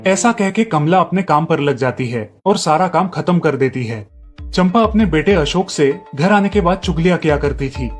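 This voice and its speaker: A man's voice: a little high, animated, moderate pace, faint music behind. A man reading scripted narration for a moral family story.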